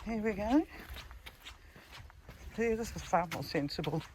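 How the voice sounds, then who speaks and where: A man with a high voice talks slowly and casually to the camera as he walks. He muses aloud in short, chatty phrases. The recording is made outdoors, with some faint noise behind him. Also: animated. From New Zealand. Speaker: travel vlogger. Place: outdoors at a beach.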